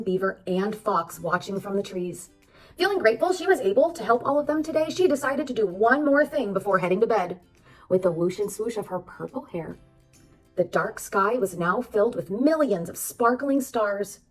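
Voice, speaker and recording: A woman reading a children's storybook aloud as narrator, expressive and addressing young listeners. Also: mid-range voice, distant mic, rough recording. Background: background music.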